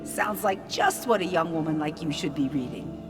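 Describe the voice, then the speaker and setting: A woman's voice: sits low, expressive, moderate pace, over music. A woman playing a kindly, fussy, doddering old small-town lady in a video game. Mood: genial.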